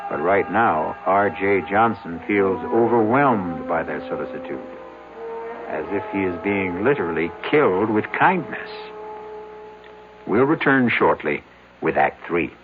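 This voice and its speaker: A man's voice: low voice, animated, a little slow. A man hosting a radio mystery drama, narrating from a script in an ominous, theatrical manner. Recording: tinny old radio recording, music behind.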